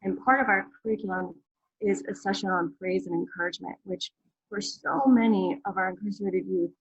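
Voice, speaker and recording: A woman's voice: medium pitch, brisk, slightly rough, no room sound, lo-fi sound. A woman presenting a program on a video call, friendly and conversational. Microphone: video call mic.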